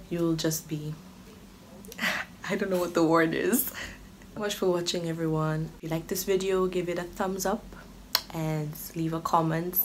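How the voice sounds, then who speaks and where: Lowish-voiced young woman vlogging, noisy room. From Kenya. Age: 20s. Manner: animated, acts out other people's remarks. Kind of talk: casual storytelling to camera. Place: small furnished living room or bedroom, indoors. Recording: clearly noisy home room recording.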